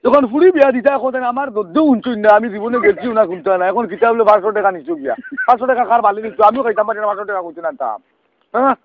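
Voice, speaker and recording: This is a high-voiced Sylheti man arguing over a thin, noisy phone line. He scolds at a quick clip in long, exasperated rambles. Questioning grunts keep punctuating his complaints. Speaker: prank call participant. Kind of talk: heated phone argument. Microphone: telephone.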